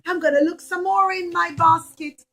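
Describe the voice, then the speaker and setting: An earnest young girl, played by a woman in a puppet show for children, high and bright. She is thoughtful and reassuring, eager to help. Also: steady tone, normal speed. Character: Ilanit, earnest helpful girl searching her basket.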